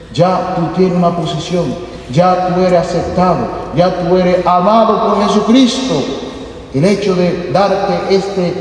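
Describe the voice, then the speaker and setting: A priest preaching a homily in earnest, repetitive phrases with frequent filler tags, in a large church. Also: male voice, a little high, darkish tone, slight background noise. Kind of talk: homily, then interpreting into Spanish. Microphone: headset mic. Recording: echoey church hall from a distant camera.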